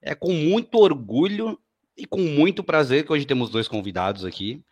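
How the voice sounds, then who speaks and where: A man's voice: fairly high pitch, expressive, normal speed, dull audio. A man with a São Paulo Brazilian accent hosting a podcast in a casual, chatty way, often repeating his words. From Guarulhos, Brazil. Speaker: podcast host.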